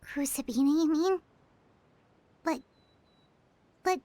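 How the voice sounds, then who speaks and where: An extremely high, squeaky, childlike fairy from a video game. She sounds tiny, earnest and full of curiosity. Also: slow pace, dark tone. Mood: surprised. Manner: inquisitive, refers to self in third person. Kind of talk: scripted video game dialogue.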